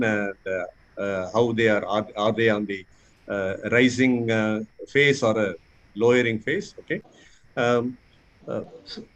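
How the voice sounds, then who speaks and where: A man with an Indian accent leading a mentoring session on public speaking, pausing and repeating words as he explains and checks with his listeners. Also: medium pitch. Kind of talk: interactive mentoring talk with spoken examples.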